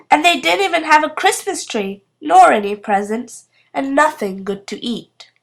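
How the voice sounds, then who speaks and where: A woman reading a children's story aloud as an expressive narrator. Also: a little high. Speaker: storybook reader.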